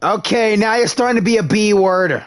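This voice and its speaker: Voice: mid-range voice, moderate pace, soft background music. A gaming streamer reading dialogue aloud and reacting casually and expressively during a live playthrough.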